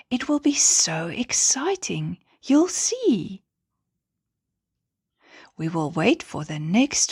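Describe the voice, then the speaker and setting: A woman voicing a kind, playful female star who gives gentle encouragement in a children's bedtime story. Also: medium pitch, breathy edge, dry recording, clean studio sound, pitched above the performer's own voice, full character voice. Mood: cheerful. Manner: reassuring.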